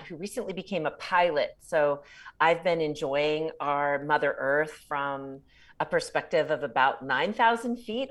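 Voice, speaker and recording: A woman's voice: low voice, fairly bright tone. A woman in her sixties, a podcast guest and author, speaking in a chatty, reflective way and laughing often. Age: 60s. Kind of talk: casual interview chat.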